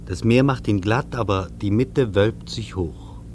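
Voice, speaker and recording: A man's voice: fairly low pitch, measured pace, darkish tone, close to an everyday voice. A man playing a world-weary, solemn flier who muses poetically in a literary radio play. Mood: solemn, awed. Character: world-weary male flier musing on the earth below. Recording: clearly noisy old radio play recording.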